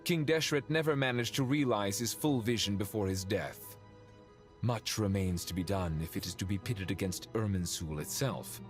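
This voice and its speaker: A haughty, refined ancient sage played by a man with a low voice and dry, condescending eloquence. He speaks in measured game-dialogue style over soft music. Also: lightly acted. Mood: grave, formal. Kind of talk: game voice acting.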